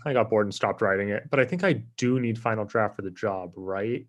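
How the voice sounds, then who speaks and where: A man's voice: lowish voice, fairly quick, dull audio, natural voice. An actor playing a laid-back, privileged young man who talks casually and self-absorbedly in a comedy table read. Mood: casual, oblivious.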